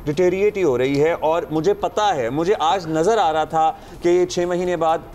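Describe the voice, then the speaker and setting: A man's voice: a little high, normal speed, darkish tone. A man co-hosting a morning talk show, chatting earnestly and shifting into formal Urdu for news items. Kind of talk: casual morning show chat.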